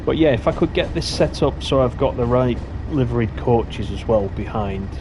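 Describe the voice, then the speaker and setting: A man with a Lancashire accent talks his way through a live-streamed game in a relaxed, rambling manner, trailing off and correcting himself as he goes. His voice sits at a middling pitch. A clear rumble of train engine noise runs steadily behind him. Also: normal speed. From Lancashire, England. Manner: chatty, rambling, self-correcting asides. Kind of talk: casual running commentary while playing. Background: simulated train engine and rail sounds.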